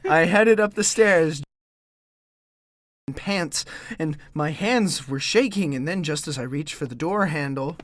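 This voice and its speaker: Man playing an earnest, slow-spoken gentleman hero. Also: on the high side, a little hiss or hum. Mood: dramatic narration. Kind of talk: audio drama acting and narration.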